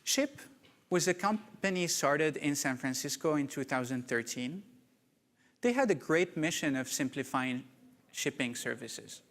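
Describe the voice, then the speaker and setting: A man from Morocco giving a prepared keynote talk at a conference, measured and deliberate. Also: a little high, expressive, fairly bright tone. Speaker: conference speaker. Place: conference hall. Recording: reverberant conference hall.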